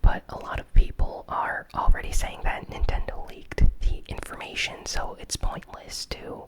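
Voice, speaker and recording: This is an ASMR host who whispers through a gaming news recap. The voice is breathy and almost entirely unvoiced. The delivery is hushed and unscripted, with a hesitant flow. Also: poor audio.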